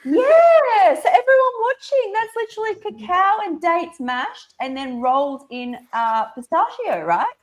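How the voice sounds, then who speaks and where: Bubbly high-pitched Australian woman host. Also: unhurried, few pauses. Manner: bubbly, excitable, laughs often. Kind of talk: casual live-stream chat. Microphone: video call.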